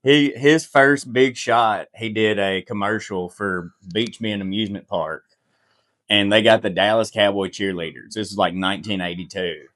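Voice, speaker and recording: A man's voice: on the low side, fairly even intonation, moderate pace, a bit bright. A man hosting a podcast in a casual chat, easygoing and rambling with loose asides. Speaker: podcast host.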